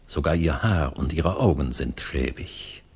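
A man's voice: very deep voice, unhurried, gravelly, darkish tone, breathy edge, exaggerated voice. A man narrating a literary tale in a 1960s German radio play, sober and scripted.

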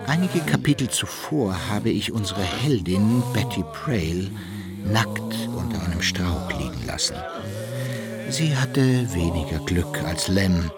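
A man narrating a satirical radio play as a wry, measured storyteller who addresses his listeners. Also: fairly low pitch, expressive. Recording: music behind the voice.

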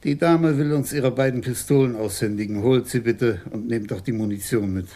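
An actor playing an older police commissioner in a German radio crime drama, measured, formal and probing. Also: male voice, mid-range voice, mellow, lightly acted. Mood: grave, measured. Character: composed, courteous but probing senior police commissioner.

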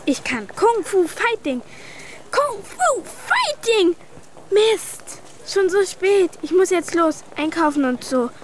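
Brash, high-pitched cheeky schoolgirl. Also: sing-song, takes it slowly, slight background noise, heavily acted. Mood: gleeful, scheming. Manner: cheeky, childlike, slangy. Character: Ulle, schoolgirl, brash, chatty, gleefully mean. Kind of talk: German radio play for children.

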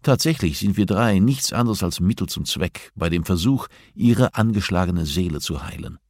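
A narrator plays an arrogant male prison inmate in an audiobook. He talks down to his listener in a deliberate, cutting manner, with a low voice and a very dry sound. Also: lively intonation, a little mellow, no room sound. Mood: scornful. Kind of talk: audiobook character dialogue.

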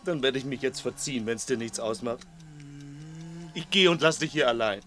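An actor playing a nervous armed crook in a 1960s radio play, threatening yet frightened. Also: male voice, medium pitch, normal speed, over music, lightly acted. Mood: bitter, wounded. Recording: 1960s radio drama recording.

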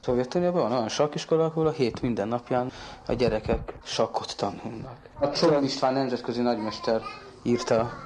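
A man, the girl's father, speaking in a formal, deliberate way in an archival radio interview. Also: medium pitch, darkish tone, a little hiss or hum. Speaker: father of the girl.

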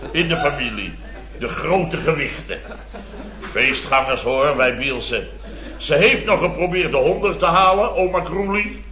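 An actor playing a blustery, boastful middle-aged building contractor in a radio comedy, rambling and exclamatory. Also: male voice, a little high, measured pace, sharp tone. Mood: nostalgic boasting. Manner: rambling, exclamatory, constant tag words. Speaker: comic lead actor. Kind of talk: 1970s radio comedy acting. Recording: dull old radio recording, roomy, audience laughter.

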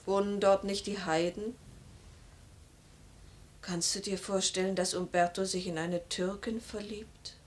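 A woman's voice: mid-range voice, steady tone, measured pace, pauses often, lightly acted. An actress playing a worried, skeptical woman who longs for a missing loved one, grave and restrained in a radio play. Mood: doubting, grave.

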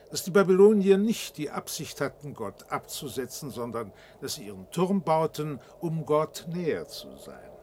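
An actor playing an earnest, old-fashioned reservation dweller in a science-fiction radio drama, speaking formally and deliberately, like a guide explaining things. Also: male voice, fairly high pitch, a little mellow, slight background noise.

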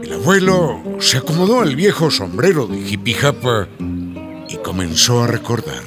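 An actor as the playful narrator of a radio serial, delivering scripted storytelling. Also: male voice, medium pitch, expressive, moderate pace, music in the background, close to an everyday voice. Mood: playful, narrating.

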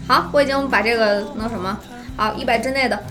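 A woman's voice: a little high, brisk. A woman hosting a playful live-stream chat, explaining a game in a giggly, teasing way. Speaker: host of a live show, running a guessing game. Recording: music playing behind the voice. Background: background music.

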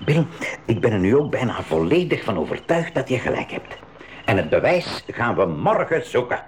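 An actor playing a decisive, adventurous professor uncle, speaking formally in a 1980s school radio play. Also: male voice, medium pitch, normal speed, lightly acted. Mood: resolute. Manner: animated, decisive, formal diction. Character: adventurous professor uncle, decisive adult man piloting a craft. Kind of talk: 1980s Dutch-language school radio drama acting. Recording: very noisy 1980s radio recording.

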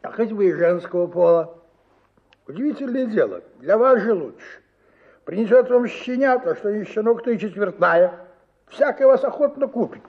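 An actor playing a tipsy, boastful middle-aged army officer in a radio story, effusive and theatrical, drawling and wheedling. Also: male voice, high voice, expressive, normal speed. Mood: coaxing. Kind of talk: dramatized radio short story, partly sung. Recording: slightly muffled, guitar music behind.

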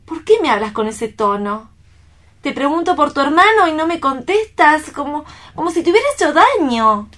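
A woman's voice: sits high, very animated, moderate pace, fairly bright tone. A woman acting in a radio play as an earnest, tender, troubled friend, pleading expressively. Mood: puzzled, hurt.

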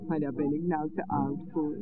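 An actress playing an ageing, informal nightclub hostess and former dancer in a 1960s radio drama, with a hesitant, questioning way of talking. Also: female voice, low voice, fairly even intonation, normal speed, close to an everyday voice. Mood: chatty, coy. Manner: informal, hesitant, tags phrases with questioning interjections. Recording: muffled old radio recording.

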